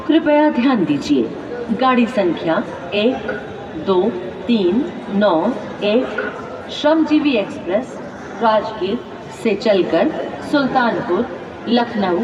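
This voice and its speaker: A woman with an Indian accent reading formal, segmented pre-recorded railway announcements over a public address loudspeaker. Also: mid-range voice, sing-song, distant mic, music in the background. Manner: formal, measured, segmented phrasing. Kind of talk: pre-recorded scripted public announcement, pieced together from phrases. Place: busy railway station, loudspeaker heard from afar. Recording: echoey, dull station PA with crowd noise.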